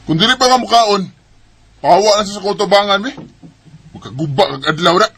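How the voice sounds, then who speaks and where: A man's voice: sits high, fairly quick, music in the background, natural voice. A man with a Cebuano Bisaya accent playing an evasive, irritable, defensive husband in a radio drama. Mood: irritated. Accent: Cebuano Bisaya Filipino.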